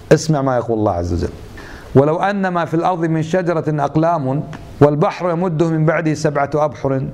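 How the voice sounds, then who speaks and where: A man's voice: fairly high pitch, a little mellow. Man teaching a religious lesson. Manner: rhetorical questions, mixes dialect with formal Arabic. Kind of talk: religious lesson to a gathered audience.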